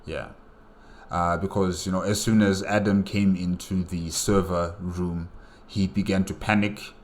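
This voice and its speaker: A man's voice: deep voice, level tone, unhurried. A man giving casual reaction commentary in a musing, hesitant way, often putting questions to himself. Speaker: host reacting to a game movie.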